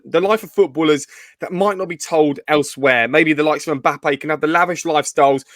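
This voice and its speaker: A man's voice: on the high side, fairly bright tone, dry recording, studio quality. A man hosting an interview show, talkative, asking long framing questions.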